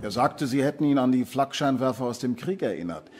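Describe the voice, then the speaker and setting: A man's voice: mid-range voice, lively intonation, brisk, darkish tone, close to an everyday voice. An actor playing an earnest police officer reporting to his superior in a crime radio drama. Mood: matter-of-fact.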